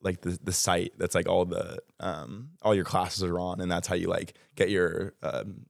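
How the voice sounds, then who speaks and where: A man in his twenties with a Texan American accent, talking casually as a guest about student life, relaxed and rambling with lots of "like" and "um". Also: sits low, moderate pace, vocal fry, dry recording, clean studio sound. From United States. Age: 20s. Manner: relaxed, rambling with many likes and ums. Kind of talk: casual interview chat.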